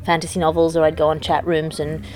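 A woman's voice: low-pitched, little pitch movement, fast, a little mellow. A woman comedian chatting backstage, talking things through in a thoughtful, analytical way. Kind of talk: casual backstage chat. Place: backstage at a venue.

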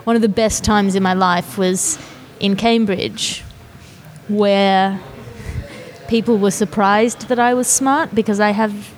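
A woman's voice: medium pitch, steady tone, slow pace. A woman from Australia with an Australian accent, hosting a podcast and talking in an articulate, self-deprecating way. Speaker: podcast host, comedian. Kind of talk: podcast conversation.

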